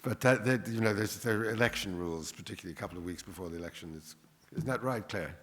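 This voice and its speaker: A man with a fairly low voice chairs a live panel without a script. He speaks through a hall with long, washy reverb. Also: fast, fairly bright tone. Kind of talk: unscripted panel moderation. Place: large panel hall, public address microphone.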